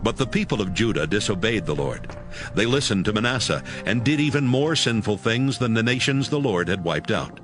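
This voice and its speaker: A man narrating scripture from a script in a measured storytelling manner. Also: lowish voice, animated, a bit bright. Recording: music bed behind narration.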